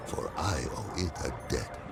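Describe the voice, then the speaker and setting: A man voicing an ancient, weary, non-human priest of the dead in a video game, with a gravelly voice and a slow, formal, archaic, musing manner. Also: lively intonation, heavily acted. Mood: solemn gratitude. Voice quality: deep gravelly creaking voice. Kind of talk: theatrical video game character dialogue. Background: music.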